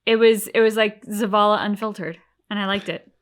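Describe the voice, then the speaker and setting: A woman's voice: mid-range voice, steady tone, fairly quick. A woman co-hosting a reaction show, discussing casually in a reflective, articulate way.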